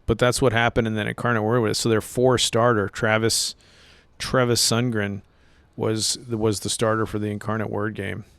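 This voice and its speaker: A man co-hosting a casual podcast about baseball, rambling and relaxed, saying "dude" a lot. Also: on the low side, normal speed, creaky, clean tone, dry recording. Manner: laid-back, rambling, says "dude" a lot. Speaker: podcast co-host.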